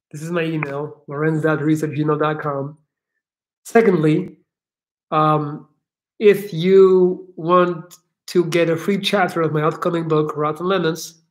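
A man's voice: on the high side, low-quality recording. A man in his thirties from Belgium, chatting casually on a home livestream and reading out viewer comments, recorded on a laptop mic in a living room. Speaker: livestream host, coach. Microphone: laptop webcam mic.

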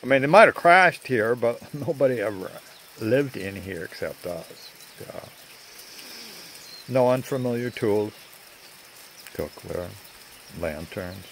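An older man narrating a rambling video outdoors among trees, talking in a halting way with many fillers, recorded on a handheld phone. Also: medium pitch, creaky, a little hiss or hum. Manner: slow, halting, full of uh fillers. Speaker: vlogger. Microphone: phone at arm's length.

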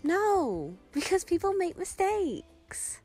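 Radio play role: naive, tender young female friend played by a woman, girlish, very high, lively pitch, music beneath. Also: slow, no room sound, full character voice. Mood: earnest concern.

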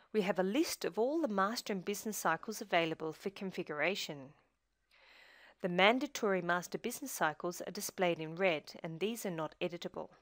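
A woman presenting a software tutorial in scripted screencast narration, giving measured step-by-step instruction. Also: medium pitch, dry recording, clean studio sound.

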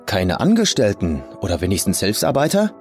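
Wary male drifter, acted by a narrator, horror audio story: ingratiating, animated intonation, faint music underneath. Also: fairly low pitch, fairly quick. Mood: probing. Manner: ingratiating, casual. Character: wary male hitchhiker on the run, feigning politeness.

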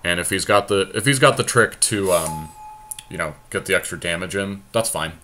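A man's voice: low voice, moderate pace, sharp tone, a little hiss or hum. A man co-hosting a casual game commentary, thinking aloud in a conversational way. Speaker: podcast co-host.

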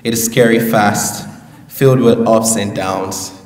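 A man's voice: fairly low pitch, fairly even intonation, measured pace, a little mellow. A young man delivering a graduation speech from a podium, with playful asides to the audience. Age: young adult. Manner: playful, joking asides to the crowd. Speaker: valedictorian. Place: large school hall at a podium. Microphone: podium mic, heard from a distance. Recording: echoey hall, distant mic.

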